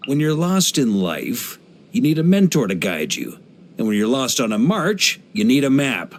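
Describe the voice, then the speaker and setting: Male actor as hearty veteran knight leader, jovial, lively swooping intonation, mid-range voice, conversational game dialogue. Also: medium pitch, normal speed, natural voice. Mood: cheerful, proud. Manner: jovial, expressive, playful, chuckling asides. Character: hearty, easygoing veteran knight grandmaster, adult man. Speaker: video game character voice actor. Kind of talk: conversational video game character monologue.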